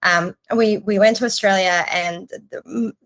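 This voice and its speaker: A woman in her forties, from Iran, telling her story as a podcast guest, lively and quick to restart her sentences. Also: medium pitch. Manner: animated storytelling with restarts and casual swearing. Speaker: podcast guest, agency creative chief. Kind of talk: casual interview chat.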